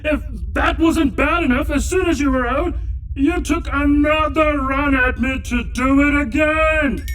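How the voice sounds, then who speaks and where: A woman's voice: sits high, far from the mic, a little hiss or hum, poor audio. A woman playing a naive newborn space shark in a sci-fi audio comedy, whiny, pleading and melodramatic. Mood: hurt, complaining. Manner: whiny, pleading, loudly lamenting.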